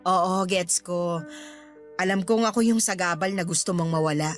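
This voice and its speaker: A woman's voice: fairly low pitch, normal speed, faint music behind, lightly acted. A woman playing a long-suffering middle-aged girlfriend in a Tagalog radio drama, answering with dry retorts. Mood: fed up, defiant.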